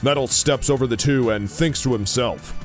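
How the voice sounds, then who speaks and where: Male narrator, medium pitch, scripted third-person storytelling for audio drama, faint music beneath. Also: moderate pace.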